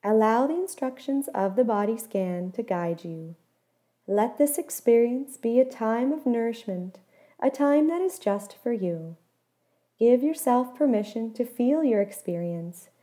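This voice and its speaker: Higher-pitched, mellow woman guiding meditation. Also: animated. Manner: gentle, measured instruction with soft phrase endings. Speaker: mindfulness meditation guide. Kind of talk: guided body scan meditation.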